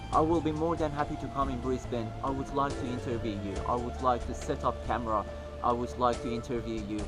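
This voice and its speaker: A man with a Persian accent speaks earnestly and warmly, pausing often and reaching for words. His voice sits at a middle pitch. Music plays clearly behind him. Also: steady tone. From Iran. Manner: earnest, heartfelt, with frequent pauses and fillers. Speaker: video maker. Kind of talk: personal video message.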